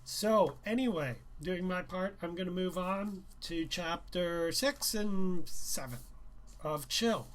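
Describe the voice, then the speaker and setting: A man's voice: high-pitched, takes it slowly. A man doing an informal live reading of his young adult novel as its author, a little hesitant when chatting. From Canada. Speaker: author reading own book aloud.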